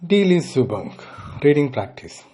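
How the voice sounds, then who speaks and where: A man giving an instructional language lesson as a teacher, deliberately sounding things out piece by piece. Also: medium pitch, big pitch swings, warm tone, breathy edge. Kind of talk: slow instructional lesson with repetition.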